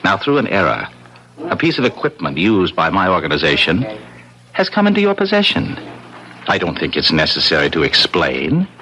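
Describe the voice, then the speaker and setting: Deep-voiced suave devil in radio drama. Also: male voice, wildly swooping pitch, pushed and bright, heavily acted. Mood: formal, courteous. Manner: urbane, formal, deliberate phrasing. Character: suave devil posing as businessman, courteous, sly, menacing. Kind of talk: 1970s radio drama acting. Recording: noisy vintage radio broadcast.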